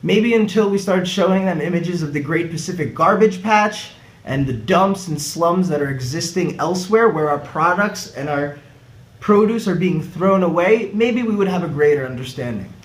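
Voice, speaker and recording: A man, a startup co-founder giving an earnest pitch with rhetorical questions. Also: lively intonation, normal speed. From United States. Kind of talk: scripted pitch video. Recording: echoey room, faint music behind.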